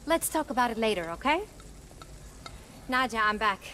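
A woman's voice: on the high side, lively intonation, normal speed, sharp tone, a little hiss or hum. A woman playing a busy, affectionate middle-aged mother with a Puerto Rican accent, talking naturally and slipping between English and Spanish in a video game. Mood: busy, firm. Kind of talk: video game character acting, natural dialogue.

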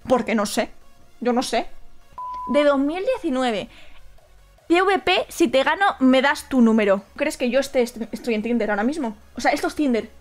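A young Spanish woman with a bright, high voice and a Madrid accent, reading chat logs aloud in a streaming room. She talks in an animated, sarcastic way and breaks into sudden squeals. Faint music plays behind her. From Spain.

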